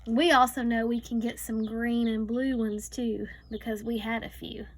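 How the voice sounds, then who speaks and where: A woman teacher reading a picture book aloud to young students, slowly and deliberately, stretching words and adding teaching asides. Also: a little high, level tone.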